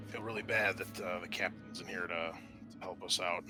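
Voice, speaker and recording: A man playing a low-key, sympathetic spaceship crewman. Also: steady tone, over music, natural voice. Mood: grateful, apologetic. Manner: halting, informal, low-key. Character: weary, grateful male spaceship crewman, casual and sympathetic.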